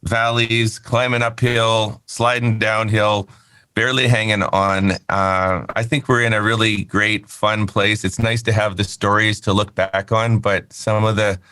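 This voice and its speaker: Fifty-something guy, bright, kinda rambly, laughs a lot, dry close sound. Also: on the low side, flat delivery, a little slow, clear, resonant tone, no room sound. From Canada. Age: 50s. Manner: candid, rambling, laughs easily. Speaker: guest, company founder. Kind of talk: casual interview chat.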